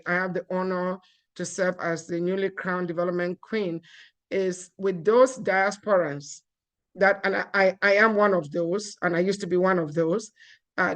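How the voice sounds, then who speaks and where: A woman's voice: fairly low pitch, fairly bright tone. A woman from Cameroon giving an earnest seminar talk, with frequent fillers and restarts. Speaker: guest speaker.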